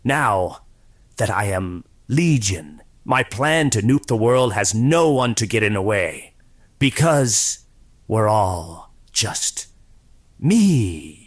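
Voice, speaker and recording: Man acting a gloating supervillain, lowish voice, very animated, theatrical, taunting, campy radio serial. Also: slow, exaggerated voice. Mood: smug gloating. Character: gloating male supervillain count, mocking and megalomaniac.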